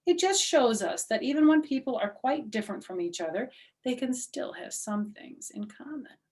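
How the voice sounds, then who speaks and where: Woman as male schoolteacher, storybook character, calm, amused, patient, encouraging, instructive. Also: sits high, normal speed, voice pushed up. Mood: pleased.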